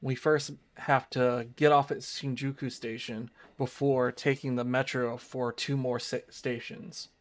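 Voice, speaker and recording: A man's voice: medium pitch, flat delivery, unhurried, hardly any pauses. A man reading and talking through a visual novel on a livestream. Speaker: streamer.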